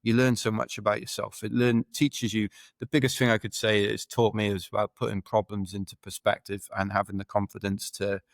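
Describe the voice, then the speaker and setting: A man in his forties with a low voice that stays close to one pitch. He talks as a podcast guest, rambling and correcting himself as he goes. The sound is dry and close on the mic. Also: flat delivery, brisk, gravelly, dry recording. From Wales. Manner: rambling, self-correcting, chuckles while telling stories. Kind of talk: casual podcast interview.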